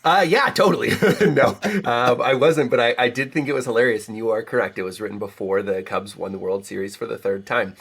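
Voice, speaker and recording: A man's voice: medium pitch, a little fast, creaky, hardly any pauses, fairly bright tone, husky. A middle-aged American man talking casually and reflectively as a guest author in an interview.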